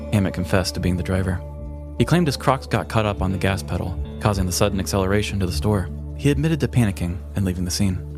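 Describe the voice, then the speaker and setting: An American man with a low voice hosts a podcast, moving between scripted storytelling and casual talk. Music plays clearly behind him. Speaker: podcast co-host. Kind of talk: scripted true crime narration and casual banter.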